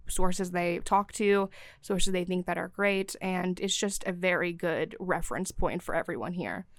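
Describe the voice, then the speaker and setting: A young woman from Syria speaks in an earnest, fluent way, often leaning on "you know." Her voice is smooth and clear, a touch lower than most, recorded close and dry with no room sound. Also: measured pace, no echo. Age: young adult. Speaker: guest, news desk assistant and DEI chair. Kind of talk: podcast interview answers.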